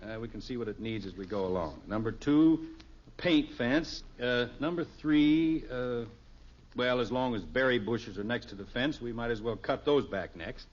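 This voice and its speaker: A man's voice: medium pitch, normal speed, close to an everyday voice. An actor playing a cheerful, self-assured middle-aged American father in a 1950s radio sitcom, lecturing in a folksy way. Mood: busily pleased. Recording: muffled old radio recording.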